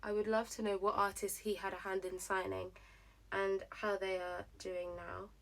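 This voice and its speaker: Young girl rambling thru her grwm, kinda hoarse, lots of likes and ums. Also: medium pitch, flat delivery, moderate pace, poor audio. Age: young adult. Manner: chatty, rambling, lots of fillers. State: slightly hoarse. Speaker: vlogger. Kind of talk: casual get-ready-with-me chat.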